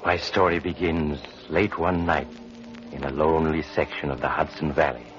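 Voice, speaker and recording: Deep, sinister horror host. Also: male voice, fairly even intonation. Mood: ominous. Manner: slow, menacing storytelling. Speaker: narrator. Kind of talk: 1940s radio acting. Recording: dull old 1940s radio broadcast with music.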